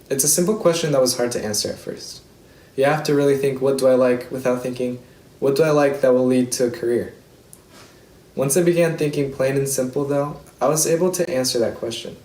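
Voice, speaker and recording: A man's voice: mid-range voice, a little mellow, reverberant, rough recording. A man around twenty, a student from the United States, giving an earnest, reflective prepared speech. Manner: earnest, reflective, reading a script. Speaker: student speaker.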